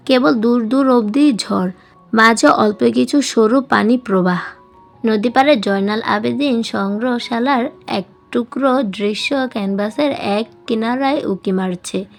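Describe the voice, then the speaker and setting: A woman with a fairly high voice narrates a novel as a scripted audiobook. She reads literary prose as a storyteller, with music playing behind her. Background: background music.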